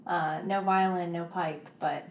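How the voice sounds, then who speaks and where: A woman's voice: lowish voice, steady tone, unhurried, echoey room, narrow, tinny audio. A woman hosting a radio interview, introducing and questioning her guest. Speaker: interview host. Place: radio studio.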